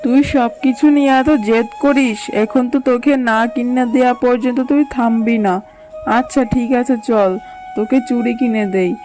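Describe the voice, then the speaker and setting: A high-voiced fox mother from a kids' cartoon, played by a woman. She is practical and thrifty, a scolding but indulgent parent, with music clearly behind her. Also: steady tone, moderate pace, darkish tone, close to an everyday voice. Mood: scolding, relenting. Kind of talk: children's cartoon dialogue.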